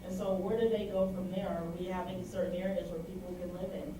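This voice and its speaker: A woman's voice: on the low side, flat delivery, fast. A woman speaking as a panelist at a community forum, earnest and full of fillers. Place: library meeting room. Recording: echoey room, distant mic, noise.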